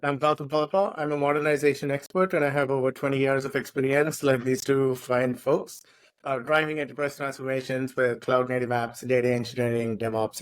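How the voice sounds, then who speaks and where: A man's voice: medium pitch, no echo, rough recording. Earnest man, dry close sound. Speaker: podcast co-host, tech modernization expert. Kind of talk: conversational podcast discussion.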